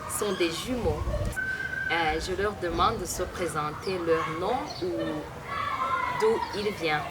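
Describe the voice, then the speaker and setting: Slow-speaking woman hosting an interview. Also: fairly low pitch. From Burundi. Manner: slow, many filler pauses. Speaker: interviewer and host. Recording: loud background noise.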